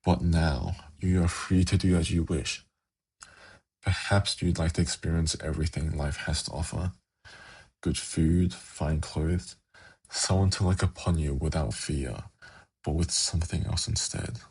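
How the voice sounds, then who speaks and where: Seductive demon prince, male actor, fantasy ASMR roleplay. Rumbling bass with heavy fry, slow, sly, intimate coaxing. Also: fairly even intonation, darkish tone, exaggerated voice. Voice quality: gravelly, husky. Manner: slow, intimate, teasing, coaxing with formal wording.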